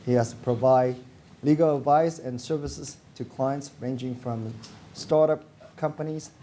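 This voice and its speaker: Mellow-voiced man reading a formal introduction. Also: mid-range voice, slight background noise. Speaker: panel moderator introducing a speaker. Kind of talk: reading a scripted biography aloud.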